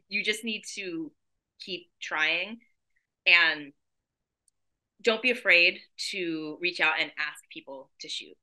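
Bright-voiced woman on a video call. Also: low-pitched. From San Diego, California. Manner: chatty, enthusiastic, animated hand gestures. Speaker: fashion photographer, guest. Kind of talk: casual interview on a video call. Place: living room at home.

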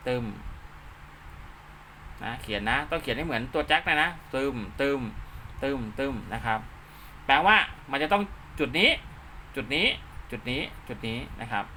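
A man's voice: mid-range voice, bright, forceful tone, slight background noise. A man giving an informal hands-on tutorial step by step, often checking that the listener follows. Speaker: instructor, channel host.

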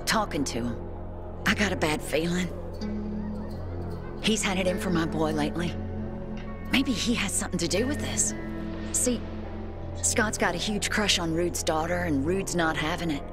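An actress playing an anxious, protective mother in a video game, earnest and worried. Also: lowish voice. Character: anxious, protective mother pleading for her missing son. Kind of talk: video game drama acting. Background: music.

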